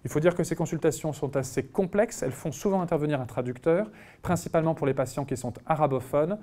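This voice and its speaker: Measured French-speaking male doctor lecturing. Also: fairly high pitch, dark tone. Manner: measured, hesitant, many pauses and restarts. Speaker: physician lecturer. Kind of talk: conference talk with slides. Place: conference room.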